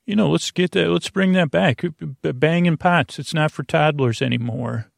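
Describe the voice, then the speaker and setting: A man hosting a bedtime podcast, rambling in a halting way, stammering and repeating words with a gravelly, creaky voice. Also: on the high side, no room sound, studio quality. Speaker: podcast host.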